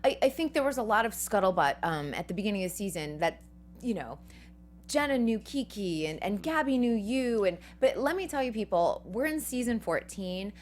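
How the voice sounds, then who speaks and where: A woman's voice: medium pitch, sing-song, moderate pace. A woman from the Boston area hosting a casual interview, chatty, sharing her own stories and laughing often. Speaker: interview host.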